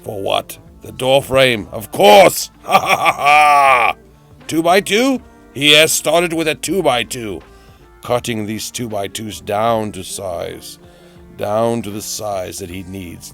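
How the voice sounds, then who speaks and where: Man, gravelly low voice, theatrical storybook narration, whimsical, melodramatic, repeated phrases, music behind. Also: lively intonation, takes it slowly, a bit bright. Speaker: narrator of a DIY build series. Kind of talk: theatrical storybook-like narration of a hobby build video.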